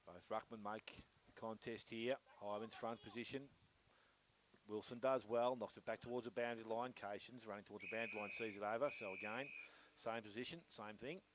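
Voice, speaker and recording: A man's voice: on the low side, steady tone, a little fast, fairly bright tone. A man with an Australian accent giving live play-by-play commentary on an Australian rules football match. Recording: noisy outdoor sports broadcast.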